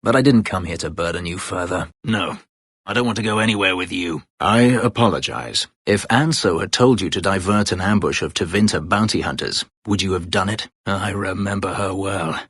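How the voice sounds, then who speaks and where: An actor playing a proud, bitter former slave warrior in a video game, speaking tersely and formally in a husky, gravelly voice. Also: male voice, low-pitched, moderate pace, a bit bright, no echo, clean studio sound. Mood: guarded, brooding. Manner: terse, formal, brooding delivery. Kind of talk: video game character dialogue.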